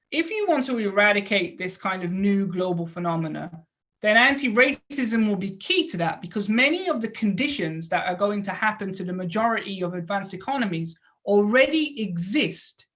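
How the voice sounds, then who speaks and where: A woman lecturing to an audience in an explanatory way, posing rhetorical questions and adding short check-in tags as she goes. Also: mid-range voice.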